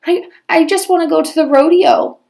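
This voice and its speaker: A woman voicing a sweet, gentle young cowgirl in a storybook, timid, plaintive and downtrodden. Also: extremely high pitch, moderate pace, gravelly, voice pushed up. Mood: pleading. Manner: plaintive, hesitant.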